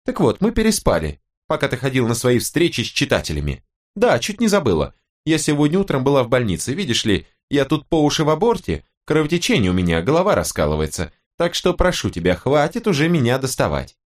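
A man voicing an irritated, sarcastic, worn-out young wife and mother in an audiobook, with a sharp, nagging manner. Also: mid-range voice, huge pitch swings, a little fast, voice pushed up. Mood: bitter sarcasm. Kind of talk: audiobook character voice.

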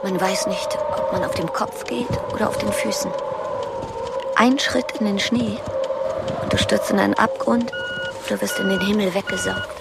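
An actress playing a dreamy, soft-hearted woman in a radio play, speaking softly and wistfully. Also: mid-range voice, fairly even intonation, moderate pace, vocal fry, heavy background noise, natural voice.

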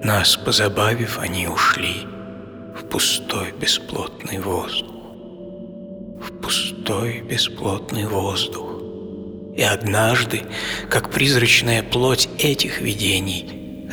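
An actor voicing a weary old magician, reciting Shakespearean verse slowly in a breathy, sorrowful half-whisper. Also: male voice, fairly low pitch, long reverb tail.

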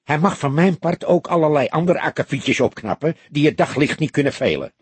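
Man as a husky, scrawny underworld café owner. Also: a little high, expressive, a little fast, no room sound, voice pushed up. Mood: matter-of-fact. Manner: earnest, slightly venomous.

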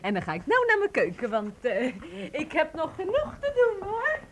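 Lively, high-voiced no-nonsense housekeeper. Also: female voice, moderate pace, slight background noise. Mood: amused. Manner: expressive, chiding, familiar. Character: good-natured, no-nonsense, sharp-witted female housekeeper. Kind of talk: scripted children's audio drama acting.

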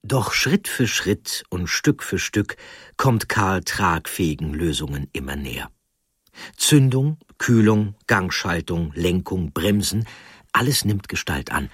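A man reading a scripted documentary narration with deliberate, emphatic phrasing. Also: low voice, lively intonation, a bit bright, husky, dry recording.

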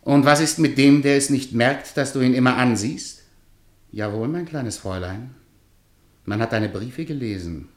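An actor playing a strict, jealous guardian in a radio drama, stern, sarcastic and lecturing. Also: male voice, mid-range voice, normal speed, roomy sound, lightly acted. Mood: scolding, sarcastic. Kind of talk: theatrical radio drama acting.

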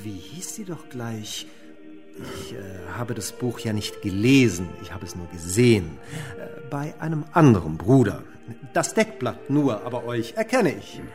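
Flustered, excitable monk, very animated. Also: male voice, medium pitch, a little slow, faint music behind. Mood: eager, flustered. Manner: hesitant, blurting, self-correcting. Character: flustered, eager, simple beer-loving male monk. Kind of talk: radio play acting.

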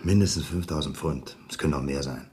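A debt-ridden gambler in a radio play. His voice sits low, with a rueful, fatalistic tone. He speaks conversationally, coaxing and pleading like someone who has run out of options. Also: a little fast, a little mellow. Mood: desperate hope. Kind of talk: German radio drama acting.

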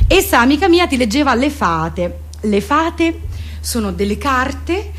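A woman delivering a comic monologue as a deadpan, colloquial mock explainer. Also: sits high, measured pace. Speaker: comedian and monologist.

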